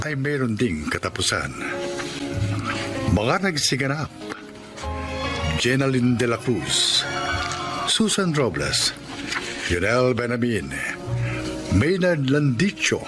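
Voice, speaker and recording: Slow, expressive male radio drama announcer. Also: medium pitch. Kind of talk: scripted radio drama closing narration and credits.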